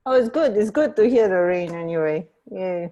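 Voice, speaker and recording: A woman co-hosting a casual radio chat, speaking in a halting way and repeating words as she searches for phrasing. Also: medium pitch, lively intonation, dark tone, highs cut off. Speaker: radio co-host.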